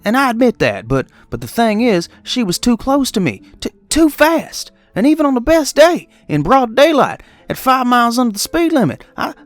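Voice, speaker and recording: A man's voice: high-pitched, a bit bright, no room sound. Guy doing a shaken truck driver confessing, stutters and repeats himself, voice all over the place, music behind. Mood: pleading, defensive. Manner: stammering, repeating words, pleading. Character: distraught guilt-ridden male trucker confessing a fatal accident. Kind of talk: dramatic horror story monologue.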